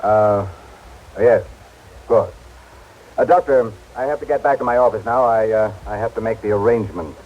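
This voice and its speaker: An actor playing a brisk, evasive military colonel in charge, in an old radio drama. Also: male voice, fairly low pitch, lightly acted. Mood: smooth, evasive. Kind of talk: 1940s-50s radio drama acting. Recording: dull, faintly noisy old radio recording.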